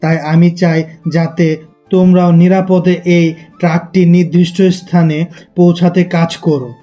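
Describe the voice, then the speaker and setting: Actor as authoritative male security boss, formal, mellow tone, slightly high pitch. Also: level tone, measured pace, soft background music. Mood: businesslike. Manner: formal, instructive. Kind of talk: audio story acting.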